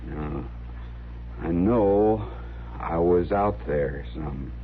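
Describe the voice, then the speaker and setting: A troubled, simple young frontier man, low and slow. He speaks haltingly and earnestly in a rustic way, as in a 1950s radio western. The recording is narrow and old, with faint noise. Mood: confused, subdued.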